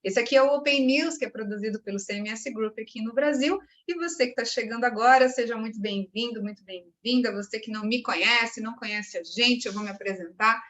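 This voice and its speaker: A woman's voice: on the high side, fairly quick, hardly any pauses, fairly bright tone. A woman with a Brazilian Portuguese accent hosting a podcast, chatty and enthusiastic. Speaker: podcast host.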